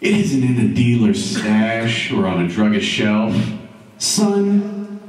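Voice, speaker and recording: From far off in a very echoey hall comes a godlike old hermit guru, voiced by a male poetry performer. He drawls slowly and knowingly, like a storybook sage who is in no hurry at all. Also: lowish voice, very animated, darkish tone. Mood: knowing, unhurried. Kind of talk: dramatic slam poetry recital.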